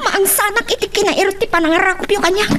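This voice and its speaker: A dutiful young granddaughter in a radio drama. Her voice is very high, with an Ilocano accent. She comes across as soft-hearted and earnest. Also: fairly even intonation, fast, noisy background. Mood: emotional.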